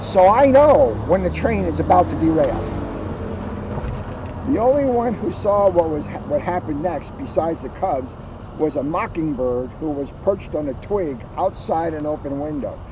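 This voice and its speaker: Male storybook reader, high register, playful emphatic delivery, slightly dull outdoor recording with vehicles behind. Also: expressive, moderate pace. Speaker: police officer reading a children's book aloud. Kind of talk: read-aloud storytelling with joking asides. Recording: muffled, outdoors with vehicle noise. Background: outdoor ambience, passing cars.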